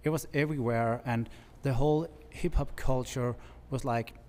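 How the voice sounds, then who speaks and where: Danish-accented man in a reverberant studio. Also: medium pitch. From Denmark. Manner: relaxed, halting, laughs easily. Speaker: guest artist. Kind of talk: casual interview chat. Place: large bright studio, indoors. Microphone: stand mic with headphones.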